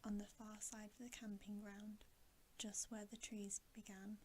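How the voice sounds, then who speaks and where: A woman's voice: mid-range voice, little pitch movement, unhurried, a little mellow, a little hiss or hum, close to an everyday voice. A woman voicing anxious, tender young girls from a storybook in an earnest, worried whisper. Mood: uneasy.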